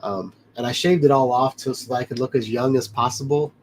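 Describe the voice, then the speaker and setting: A man's voice: mid-range voice, fairly even intonation, fairly quick, darkish tone, a little hiss or hum. Congested man chatting on a livestream. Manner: rambling, friendly, addresses viewers by name. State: congested, blocked-up nose. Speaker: livestream host reading chat. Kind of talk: casual live chat. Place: home studio.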